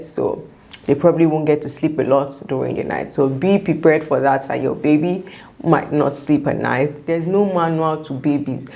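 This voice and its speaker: A young woman with a Nigerian accent and a slightly croaky voice, giving chatty, emphatic advice in a vlog. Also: low-pitched, dark tone. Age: young adult. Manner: emphatic, repeats words for stress. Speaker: vlogger sharing first-time mom advice.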